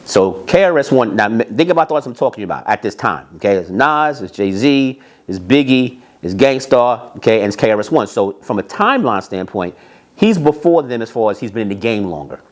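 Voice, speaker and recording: A man telling anecdotes in an animated, emphatic way, repeating phrases and acting out bits of dialogue. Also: on the low side, brisk. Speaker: storyteller, music industry executive.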